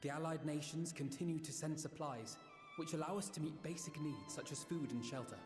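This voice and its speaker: A man's voice: a little high, fairly quick, darkish tone, natural voice. An actor voicing an earnest, idealistic young nobleman in a video game, speaking politely and formally. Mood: modest, earnest. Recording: echoing hall with music behind.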